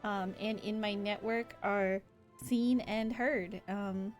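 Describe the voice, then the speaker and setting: A woman livestreaming a game, chatting casually in an animated, informal way. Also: medium pitch, takes it slowly, soft background music. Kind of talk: casual chat while streaming a game. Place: indoor livestream setup with game music behind.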